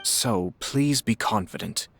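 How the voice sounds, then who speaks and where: An actor playing a composed, shrewd young nobleman in a video game, with a formal, measured, diplomatic way of talking. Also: on the low side, lively intonation, gravelly, fairly bright tone, slight background noise, exaggerated voice. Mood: measured, probing. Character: composed, courteous, shrewd young nobleman, head of his clan. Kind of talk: polished video game voice acting.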